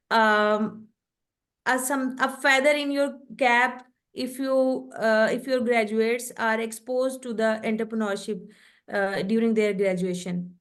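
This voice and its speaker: A woman whose first language is Urdu, a guest lecturer answering questions in an online webinar, hesitant with many fillers. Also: on the high side.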